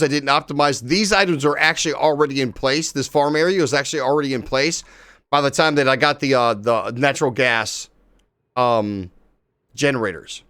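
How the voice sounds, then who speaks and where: A man live-streaming a game, chatting casually with viewers and swearing freely as he plays. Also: a little high. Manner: excitable, swears casually, talks to chat.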